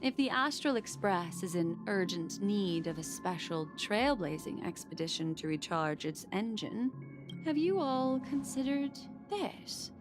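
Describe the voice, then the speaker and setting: Game role: enigmatic female memory keeper, unhurried, sly and condescending, music behind. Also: medium pitch, very animated. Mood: mysterious, solemn. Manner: measured, mysterious, softly condescending. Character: poised, enigmatic woman keeper of memories, gently teasing. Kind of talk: English game voice acting. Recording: voice over loud game music.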